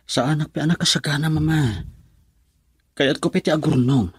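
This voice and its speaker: A man's voice: on the high side, normal speed, breathy edge, no room sound, studio quality. A man acting a lovelorn, earnest young man whose sweetheart left him, pleading his case in an Ilocano radio drama. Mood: pleading, defensive.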